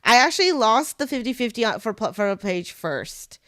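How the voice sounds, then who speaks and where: A woman's voice: a little high, sing-song, brisk, fairly bright tone, no echo, studio quality. A young woman chatting casually while streaming a game, giggly and delighted. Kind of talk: casual live-stream chat.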